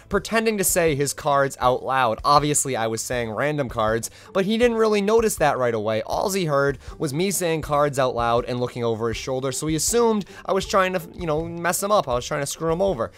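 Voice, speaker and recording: A young man, hoarse from losing his voice, telling his own school stories in a chatty, self-deprecating way as a video storyteller. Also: medium pitch, a bit bright. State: hoarse, recovering lost voice. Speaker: vlogger. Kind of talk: casual storytime monologue.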